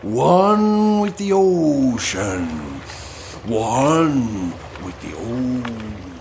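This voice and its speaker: Guy playing dreamy zen seaman in a radio play, real slow, lilting up and down, bit of noise behind. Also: mid-range voice, sing-song, gravelly, heavily acted. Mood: dreamy, serene. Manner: slow, airy, mystical intonation. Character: serene sailor who is one with the sea.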